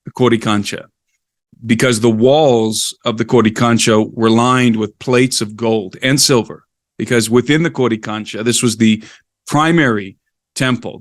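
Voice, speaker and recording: A man's voice: mid-range voice, dry recording, clean studio sound. A middle-aged man talking as a podcast guest, telling history in a casual, digressive way. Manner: digressive, explains history at length. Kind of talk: casual storytelling conversation.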